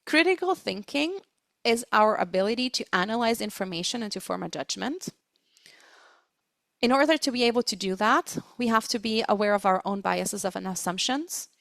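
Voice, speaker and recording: A woman presents an online training lecture in a deliberate, explanatory way. She leans on key words to make each point. Her mid-pitched voice is recorded close and dry, with very little room sound. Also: lively intonation, creaky, fairly bright tone, dry recording. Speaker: webinar presenter, interpreting trainer.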